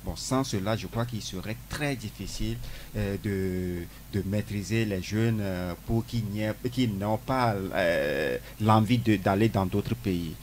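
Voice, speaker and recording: Male radio guest, low voice, slow and hesitant, slight background noise. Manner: deliberate, hesitant speech. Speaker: studio guest. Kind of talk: radio discussion.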